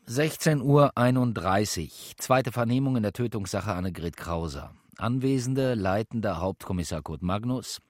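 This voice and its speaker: A man's voice: low-pitched, no echo, studio quality. A man playing a stern, relentless chief inspector in a radio crime drama, speaking formally, hard and accusingly. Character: stern, relentless male police chief inspector leading an interrogation.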